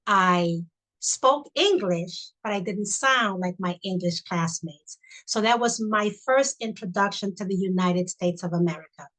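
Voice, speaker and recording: A woman's voice: medium pitch, a little slow, fairly bright tone, low-quality recording. An older woman from Honduras whose first language is Spanish, telling her life story as a webinar guest with many "you know"s. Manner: reflective, repeats "you know". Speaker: guest, chaplain. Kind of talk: personal storytelling. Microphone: video call.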